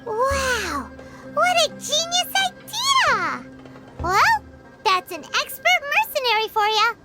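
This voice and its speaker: A tiny childlike fairy sidekick for a game cutscene. The voice is extremely high and squeaky, swinging wildly in pitch with inquisitive, excitable energy, while loud music plays behind. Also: female voice, slow, exaggerated voice. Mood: admiring. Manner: exclamatory, speaks of herself in third person. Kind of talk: cartoonish video game voice acting. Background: prominent game music.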